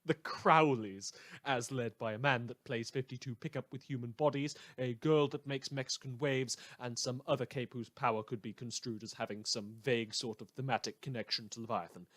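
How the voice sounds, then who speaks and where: A man's voice: mid-range voice, fairly even intonation, moderate pace, a bit bright, natural voice. A man acting as a snide, theatrical small-town radio host, reading mock news in a scripted fan drama. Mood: sardonic. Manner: sardonic, theatrical, swinging from muttered asides to outbursts.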